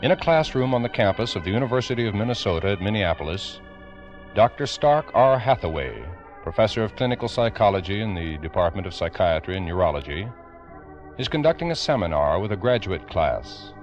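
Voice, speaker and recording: Low, dramatic American radio crime narrator. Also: male voice, sing-song. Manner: grave, dramatic, deliberate. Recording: dull vintage radio recording with music.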